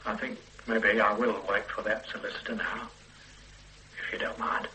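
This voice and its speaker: An actor playing a weary, regretful middle-aged man under police questioning in a radio play, speaking in a halting, hesitant way. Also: lowish voice, little pitch movement, rough recording. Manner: halting, hesitant confession with quiet weary asides. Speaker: murder suspect under questioning. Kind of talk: 1970s-80s radio play acting.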